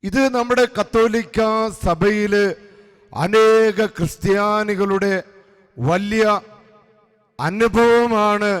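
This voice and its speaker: Guy with preaching, high voice, slow emphatic phrases, big church reverb. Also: clean tone. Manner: emphatic, exhorting, phrase-by-phrase delivery with pauses. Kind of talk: devotional sermon. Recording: long echoing hall reverb.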